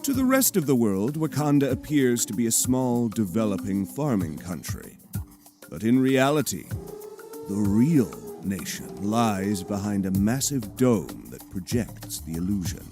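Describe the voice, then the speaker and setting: A man's voice: lowish voice, sing-song, unhurried. A man narrating an audio drama in a dramatic, scripted style. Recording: music under the voice. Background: cinematic music.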